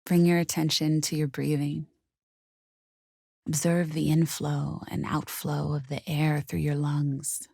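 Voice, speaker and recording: A woman guiding a meditation in a soothing, unhurried, softly spoken way with gentle pauses. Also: sits low, flat delivery, gravelly, slightly rough, no room sound, clean studio sound. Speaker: meditation guide. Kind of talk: guided meditation narration.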